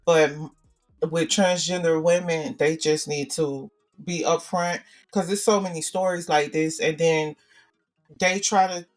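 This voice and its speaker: A woman with a deep voice, co-hosting a casual podcast chat and pressing her points with repetition. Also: fairly even intonation, unhurried, far from the mic, soft background music. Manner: opinionated, repeats points. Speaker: podcast co-host.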